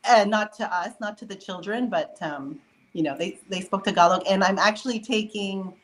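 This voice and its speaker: A woman's voice: medium pitch, moderate pace, low-quality recording. A woman speaking casually and conversationally as a guest on a talk show.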